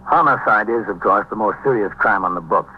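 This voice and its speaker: An actor playing a level-headed, authoritative police captain in a 1950s American radio drama, businesslike, dry and unemotional. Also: male voice, fairly low pitch, brisk, slightly rough. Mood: sober. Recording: dull, hissy 1950s radio recording.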